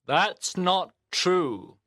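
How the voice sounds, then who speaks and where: A man's voice: high voice, animated, drawn-out words, bright, forceful tone, no echo, studio quality, voice pushed up, full character voice. A man voicing a brave, kind village father who speaks up defiantly, as an audiobook reading. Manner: defiant, firm.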